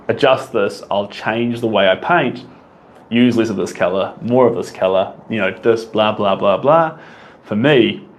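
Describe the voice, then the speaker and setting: A young man with a New Zealand accent, an artist casually answering viewer questions, rambling enthusiastically with frequent ums and restarts. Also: on the low side. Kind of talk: casual Q&A monologue.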